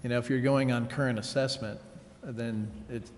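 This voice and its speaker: A man's voice: mid-range voice, steady tone, moderate pace, slight background noise. A man at a council meeting asking unscripted questions, hesitating and restarting as he goes. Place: indoor council chamber. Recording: reverberant meeting hall.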